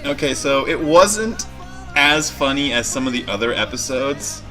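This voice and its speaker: A man gives casual, off-the-cuff commentary as a reaction video host. His mid-pitched voice is bright and lively, with faint music in the background. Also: moderate pace, poor audio.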